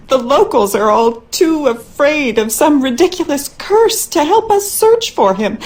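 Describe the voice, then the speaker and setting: A woman's voice: sits high, darkish tone. An actress playing a worried, skeptical wife and mother, speaking earnestly and dramatically in a game monologue. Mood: anxious, pleading.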